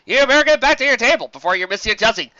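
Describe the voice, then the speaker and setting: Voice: mid-range voice, expressive, very fast, bright, forceful tone, no room sound, dull audio, pitched above the performer's own voice. Reader doing a taunting unicorn con man. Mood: gloating. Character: scheming male unicorn con artist, brash.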